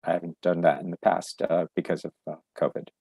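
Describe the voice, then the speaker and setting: A man chairing a council meeting, halting with many fillers and restarts, losing his voice. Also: low-pitched, flat delivery, gravelly, mellow, no echo. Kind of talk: virtual public meeting.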